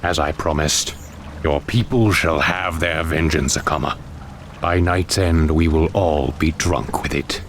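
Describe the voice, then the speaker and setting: An actor playing a proud, menacing demon lord in a fantasy game, speaking in a grandiose, commanding way. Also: male voice, extremely low pitch, unhurried, a little hiss or hum. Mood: grim, commanding. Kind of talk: dramatic fantasy game cutscene acting. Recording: dramatic music under the voice.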